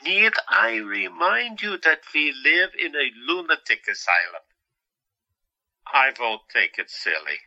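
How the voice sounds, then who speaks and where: Man acting as a cheeky, animated male asylum patient. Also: fairly high pitch, dull audio, exaggerated voice. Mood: wry. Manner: animated, teasing, halting pauses. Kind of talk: audio drama dialogue.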